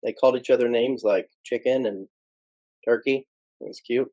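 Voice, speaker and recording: A man's voice: mid-range voice, fairly even intonation, moderate pace, warm tone, no room sound. A man co-hosting a casual podcast, speaking in short, laid-back replies. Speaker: podcast co-host. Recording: dull, muffled microphone.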